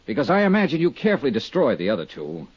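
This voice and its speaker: An actor in a 1950s radio drama playing a shrewd, hard-boiled insurance investigator, husky, clipped and sardonic. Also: male voice, medium pitch, wildly swooping pitch, rapid-fire. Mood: cold, accusing. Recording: dull 1950s radio recording.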